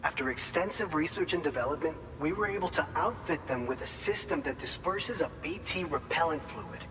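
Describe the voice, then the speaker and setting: An upbeat male staffer in a game cutscene, giving briefings in a slightly high voice. He explains things informally and encouragingly, in a long, hall-like reverb with clear noise behind him. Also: lively intonation, brisk, a bit bright. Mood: enthusiastic pitch. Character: chatty, upbeat male distribution center staffer briefing a courier. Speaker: briefing officer. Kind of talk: video game cutscene dialogue.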